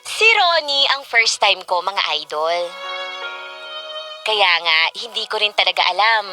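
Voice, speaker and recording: A woman's voice: medium pitch, bright, forceful tone. An actress playing an eager, insecure young girlfriend in a Filipino radio comedy drama, with a coy, emotional, exaggerated delivery. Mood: confiding. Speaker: drama actress and first-person narrator.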